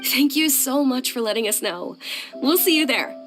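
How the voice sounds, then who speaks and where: A woman playing a polite, gentle young noblewoman in a video game, soft-spoken, earnest and courteous. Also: high voice, expressive, normal speed, music in the background. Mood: pleased. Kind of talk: video game dialogue acting.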